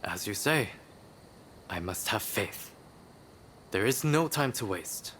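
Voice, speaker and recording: A man voicing an earnest, ambitious young medical researcher in a video game, deliberate and determined. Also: on the low side, animated, fairly bright tone, a little hiss or hum.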